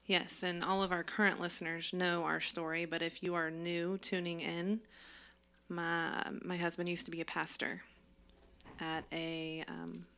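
A woman's voice: on the low side, level tone, measured pace, a bit bright, clear, resonant tone. Chatty young woman hosting a podcast. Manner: chatty, with fillers and swearing. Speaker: podcast co-host. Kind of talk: casual chat. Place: home studio.

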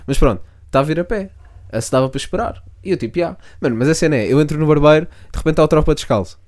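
A man's voice: fairly high pitch, expressive, rapid-fire, darkish tone. A young man, co-hosting a podcast and telling a rambling, slangy story with frequent restarts. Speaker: podcast co-host. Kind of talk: casual storytelling chat.

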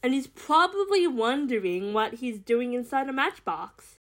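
An excitable young woman in an audio drama, played by an actress, is brisk and blunt with her friends. Her voice is high. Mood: accusing, breezy.

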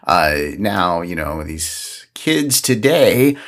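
A man's voice: low voice, very animated, extremely slow, fairly bright tone. A man hosting a podcast, talking in a chatty, informal way and moving between casual conversation and sponsor reads. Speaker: podcast host. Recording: music behind the voice. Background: background music.

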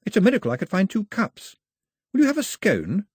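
A nervous, talkative shipwrecked girl, as a male reader puts her on, rushing out apologies in a high, lively voice. Her tone is slightly husky and very dry, with swooping pitch. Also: dry recording, full character voice. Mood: anxious. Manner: breathless apologetic rambling. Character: anxious chatty shipwrecked young girl.

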